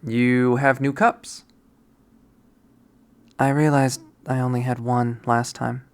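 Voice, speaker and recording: A narrator reading a visual novel aloud, quiet and measured, softly voicing the characters. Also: medium pitch, level tone, clean tone.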